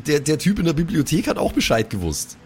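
A man's voice: on the high side, sing-song, fast, a little mellow, faint music behind. A man improvising as a player in a tabletop role-playing game, chatting casually in colloquial slang.

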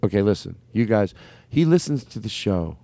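A man's voice: fairly low pitch, very animated, normal speed, vocal fry, dark tone, no echo. A man in his thirties hosting a comedy show, rambling and playful, stammering and laughing as he jokes. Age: 30s. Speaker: comedy podcast host. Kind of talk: improvised comedy banter.

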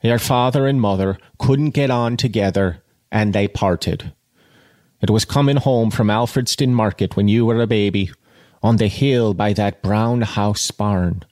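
An ancient, infirm country great-aunt from a classic storybook, read by a man. She is blunt and grim, with rustic turns of phrase. The voice is fairly low and dry, over faint music. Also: a little slow, a little mellow, no room sound, natural voice. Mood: grim, scolding. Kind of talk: storybook character reading.

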